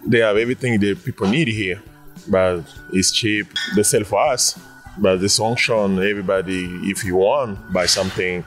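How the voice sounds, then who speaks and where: Low-voiced Congolese man answering casually. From Congo, Central Africa. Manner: halting, repetitive non-native English. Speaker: shopper being interviewed.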